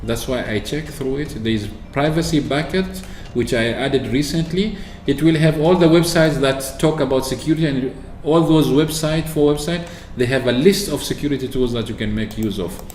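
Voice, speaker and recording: Chatty man demoing software, echoey room. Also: mid-range voice, moderate pace, hardly any pauses, slight background noise. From Oman. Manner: chatty, explains with many fillers and restarts. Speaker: tech video host. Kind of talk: screen-recorded software walkthrough. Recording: echoey room with faint ambience.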